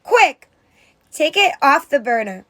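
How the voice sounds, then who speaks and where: A flustered young storybook girl, voiced by a woman reader, high-pitched and anxious. She blurts urgent exclamations and hurried kitchen orders to her sister. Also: very animated, pushed and bright, full character voice.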